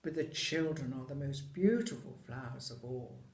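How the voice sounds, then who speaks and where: Someone playing a gentle remorseful giant, echoey room. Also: medium pitch, normal speed, pitched below the performer's own voice, close to an everyday voice. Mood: tender. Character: gentle giant, once selfish, tender and remorseful.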